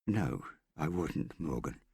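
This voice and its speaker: A man voicing a timid, kindly girl in an audiobook, with a gentle, hesitant and apologetic manner. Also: low voice, big pitch swings, moderate pace, creaky, slightly rough, no echo, clean studio sound, voice pushed up, exaggerated voice. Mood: soothing. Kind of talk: audiobook character acting.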